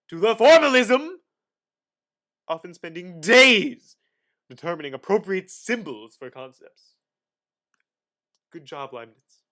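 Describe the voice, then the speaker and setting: A young man giving a dramatic reading of an encyclopedia article, with theatrical, exaggerated emphasis. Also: high-pitched. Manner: theatrical, exaggerated emphasis with sudden loud and hushed phrases. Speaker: student reader.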